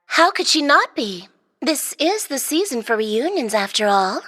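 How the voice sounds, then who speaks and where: Young woman, graceful, cheerful, high, very lively intonation, video game dub, reverberant. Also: a little slow, full character voice. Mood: warmly cheerful.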